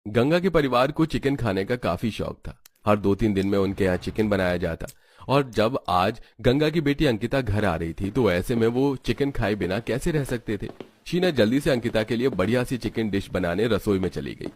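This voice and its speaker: Low-voiced male storybook narrator. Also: animated, normal speed.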